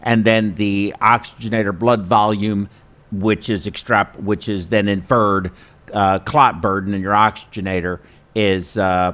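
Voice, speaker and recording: A man hosting an informal instructional webinar, talking in a chatty, self-deprecating, halting way. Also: lowish voice. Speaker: host and perfusionist instructor. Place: studio.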